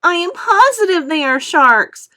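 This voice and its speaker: Woman voicing a high-pitched, panicky storybook earthworm. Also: sing-song, a little slow, sharp tone, heavily acted. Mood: alarmed, insistent. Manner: wailing, urgent exclamations. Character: panicky, self-pitying male earthworm, fretful and easily terrified. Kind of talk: storybook character voice in a read-aloud.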